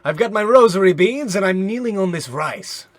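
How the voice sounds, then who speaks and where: A man acts a meek subordinate police lieutenant in a comic radio play. The voice is strikingly high for a man and very animated in pitch. It is deferential and eager to serve, recorded dry. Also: normal speed, dry recording. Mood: dutiful. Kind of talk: old-time radio comedy acting.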